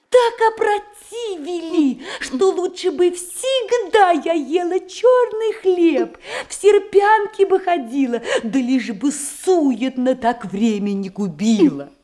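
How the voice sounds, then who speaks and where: High-voiced demure young lady. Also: a little mellow. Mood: earnest, fed up. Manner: mannered, earnest, measured declamation. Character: demure, thrifty young ward of a countess, eager to please. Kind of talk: theatrical radio comedy acting in verse.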